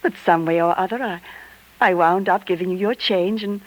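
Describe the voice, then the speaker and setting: An actress playing a lonely, romantic young bookshop woman who flatters softly and coyly in a 1940s radio drama. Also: lowish voice, moderate pace, natural voice, rough recording. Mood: wistful, lonely. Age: young adult. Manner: gushing, coy, breaks off mid-phrase. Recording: vintage 1940s radio recording.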